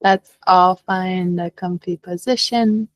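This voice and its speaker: A woman leading a guided meditation as a teacher, speaking gently and unhurriedly with long pauses. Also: lowish voice, fairly even intonation, no echo.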